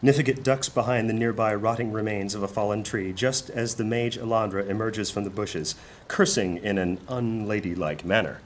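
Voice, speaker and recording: A man narrating a scripted fantasy audio drama in a deliberate, descriptive storytelling manner. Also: on the low side, a little hiss or hum.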